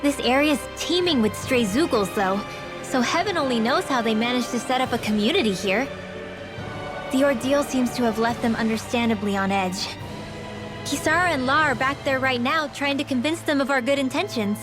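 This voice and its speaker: A woman's voice: high-pitched, normal speed, over music, lightly acted. An actress playing a perky, curious and caring young woman in an anime-style fantasy video game. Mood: chatty, upbeat.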